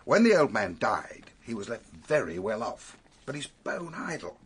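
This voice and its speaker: An actor playing an authoritative, wry police superintendent in a British radio drama, setting out facts in an orderly, emphatic way with a British accent. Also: male voice, mid-range voice, sing-song, breathy edge. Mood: wry, explanatory. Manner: measured, emphatic, expository.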